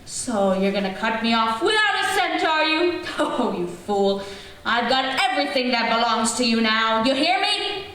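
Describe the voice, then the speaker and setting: A woman playing a spiteful, scornful wife with a fierce temper in an old-time radio horror play, melodramatic and drawn-out, on a theater stage. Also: a little high, sing-song, a bit bright. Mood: gloating, scornful. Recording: echoey theater, distant mic, faint noise.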